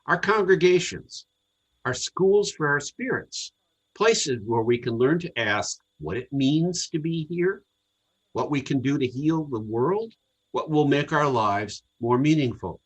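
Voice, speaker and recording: A man reading a scripted sermon to a congregation in a measured, earnest, deliberate way. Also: fairly high pitch, lively intonation, husky, low-quality recording. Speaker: congregational service speaker.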